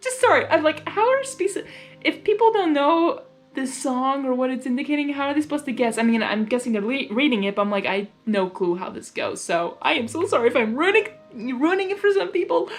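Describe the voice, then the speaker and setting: High-pitched, excitable woman reading aloud. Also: fairly quick, faint music behind. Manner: gushing, excitable, giggly. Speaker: host reading fan fiction aloud. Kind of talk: blind story reading with excited asides.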